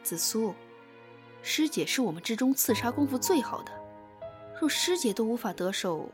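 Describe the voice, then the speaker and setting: A woman acting a dutiful, calculating young assassin with a formal, measured manner in a Chinese costume audio drama. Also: fairly high pitch, very animated, over music. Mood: earnest.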